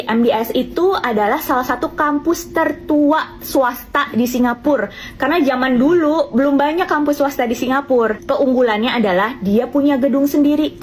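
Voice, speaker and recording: A young woman with a slightly higher voice explains things step by step. She talks in a calm, measured way, like a patient tutor. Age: young adult. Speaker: student in Singapore. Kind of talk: explanatory commentary clip.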